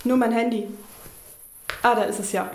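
A woman's voice: fairly high pitch, steady tone, moderate pace, natural voice. A woman acting in a crime radio play as a scheming, coaxing accomplice to murder, matter-of-fact in manner. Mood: casual. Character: scheming night-shift care worker, cannibal's loving accomplice. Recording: echoey room.

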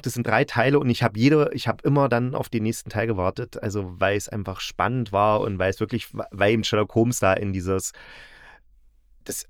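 A man from East Germany hosting a casual podcast about audio dramas, telling things in an animated, colloquial way. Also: fairly low pitch, no room sound, clean studio sound. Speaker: podcast host.

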